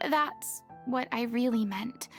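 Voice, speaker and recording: A woman's voice: on the high side, slow, soft background music. A woman voicing a young, theatrical former idol in a video game, proud yet vulnerable, with dramatic confessional phrasing. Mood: melancholy, confiding. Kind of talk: English video game voice acting.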